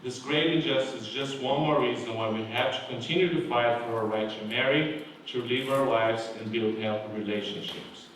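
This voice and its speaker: Man, earnest measured speech, middle pitch, booming hall echo, faint background noise. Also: fairly bright tone, recorded from a distance. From Brazil. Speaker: award honoree. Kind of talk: acceptance speech. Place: large hall. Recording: very echoey hall, mic from afar.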